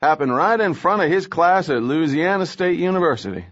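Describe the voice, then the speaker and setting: A cranky male police lieutenant, roused from sleep, grumbles and slurs his answers, as a man reading an audiobook puts him on. His voice rides higher and louder than the narration. The audio is a touch dull and lo-fi. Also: animated, a little fast, lightly acted. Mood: grimly talkative. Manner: slurred, grumbling, exasperated.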